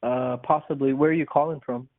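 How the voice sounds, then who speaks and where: A man's voice: medium pitch, steady tone, a little fast. A man on a phone call giving brief, hesitant replies to a salesperson. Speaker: sales prospect. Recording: tinny phone-line recording.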